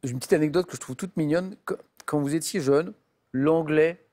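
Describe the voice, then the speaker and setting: Upbeat higher-voiced male quiz host. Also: brisk, a little mellow. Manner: upbeat, chatty, reads clues with emphasis. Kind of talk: lively game show hosting. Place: television studio.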